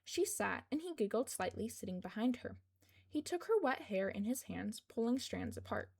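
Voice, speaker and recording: A woman's voice: fairly high pitch, big pitch swings, moderate pace, vocal fry, a little mellow, slightly rough. A woman reading scripted fiction aloud as narrator of a fan fiction podfic.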